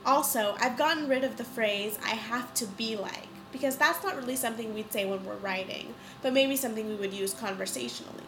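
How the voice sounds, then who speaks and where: A woman hosting a podcast, congested from a cold, chatting and explaining in a clear, casual way. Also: fairly high pitch, fairly quick, hardly any pauses, background noise. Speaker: podcast host. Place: indoors.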